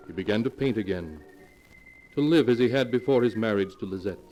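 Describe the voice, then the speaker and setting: An actor playing a mysterious keeper of dark tales, narrating formally in a 1940s radio mystery show. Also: male voice, on the low side, muffled recording, lightly acted. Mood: ominous storytelling. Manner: formal, measured storytelling. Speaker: narrator, host. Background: music.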